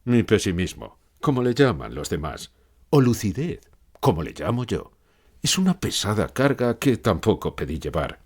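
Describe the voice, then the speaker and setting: A man acts out a brooding, lucid pessimist thinker for an audiobook. His voice is low and husky, rising and falling widely as he declaims his anguish in theatrical, rhetorical phrases. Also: very animated, normal speed, a little mellow, heavily acted. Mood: weary, brooding. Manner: dramatic, declamatory, rhetorical repeated questions. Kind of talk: acted fictional interview, audiobook.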